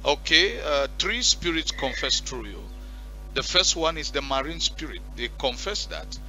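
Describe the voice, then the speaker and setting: A male pastor leading a deliverance prayer and counseling on stage through a headset mic, commanding and forceful. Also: a little high, sing-song, measured pace, soft background music, rough recording. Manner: commanding, shouting at spirits. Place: church stage with curtain backdrop.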